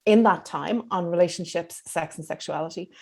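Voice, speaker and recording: An Irish woman in her fifties speaking as an interview guest, in an articulate, measured and reflective way. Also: lowish voice, vocal fry, a little mellow, slightly rough. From Dublin, Ireland. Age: 50s. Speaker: psychotherapist being interviewed.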